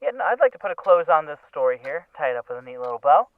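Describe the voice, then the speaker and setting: Breezy newspaperwoman, game role, played by a man: quick, animated, casual, tinny phone-like audio. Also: fairly high pitch, clear, resonant tone, no echo. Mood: brisk, businesslike. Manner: casual, rapid, offhand. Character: breezy, brisk female newspaper reporter. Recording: thin, phone-like radio sound.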